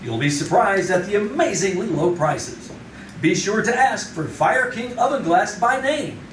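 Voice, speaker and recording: A man's voice: high-pitched, expressive, a little slow, slight background noise. A man playing an emphatic, polished 1940s radio announcer selling for a sponsor. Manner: emphatic salesman delivery. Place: live theater stage. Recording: echoey stage, distant, loud music.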